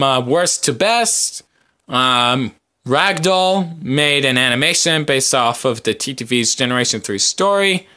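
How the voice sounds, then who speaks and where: A man with a bright, forward voice that sits a little high hosts a casual podcast. He rambles to his viewers in a halting, hesitant way, often stretching out pauses as he looks for the next phrase. His overall delivery is very unhurried. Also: clean tone. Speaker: podcast host.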